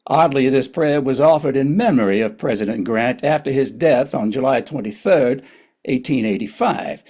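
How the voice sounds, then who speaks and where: A man's voice: medium pitch, moderate pace, darkish tone. A man narrating a historical play in a scripted but conversational way.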